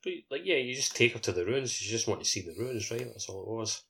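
A man's voice: lowish voice, fast, gravelly, sharp tone, slight background noise. A man co-hosting a gaming podcast, talking in an informal, joking way. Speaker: podcast co-host. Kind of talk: casual chat about a video game.

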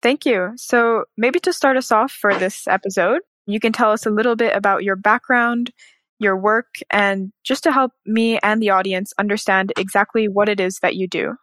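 A woman's voice: medium pitch, moderate pace, a bit bright, dry recording. A woman hosting a podcast, interviewing a guest in an articulate, polite and upbeat conversational way. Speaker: podcast host.

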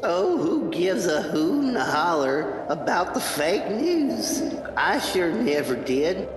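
A male actor plays a folksy old-chains ghost who scolds in a slow, swooping drawl. The voice rings in long reverb with music behind it, as in a comic radio play. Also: high-pitched, sing-song, full character voice. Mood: exasperated, earnest. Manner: folksy, scolding, drawn-out delivery. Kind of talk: audio comedy drama acting.

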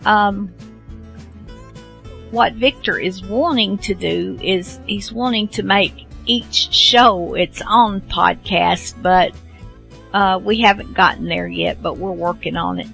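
A woman hosting a radio show in a casual, unscripted way, halting and rambling as she goes. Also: mid-range voice, creaky, a bit bright, soft background music. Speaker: host of an old-time radio show.

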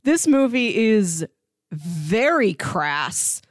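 A woman's voice: medium pitch, big pitch swings, extremely slow, no echo. Casual woman podcaster, close dry sound. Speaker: podcast co-host. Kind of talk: casual film discussion podcast.

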